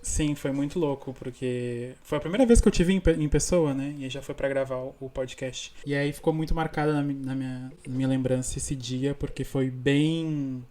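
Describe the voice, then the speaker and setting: A man's voice: mid-range voice, fairly even intonation, normal speed, hardly any pauses, warm tone. A Brazilian man co-hosting a podcast in casual chat, often restarting his words and laughing easily. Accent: Brazilian Portuguese. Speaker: podcast co-host.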